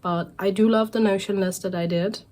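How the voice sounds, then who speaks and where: A woman in her forties, recovering from a cold so her voice sounds a little off, chatting in a relaxed, rambling way with fillers and self-corrections as a cross-stitch vlogger. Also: medium pitch, moderate pace. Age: 40s. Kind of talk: casual chatty vlog monologue.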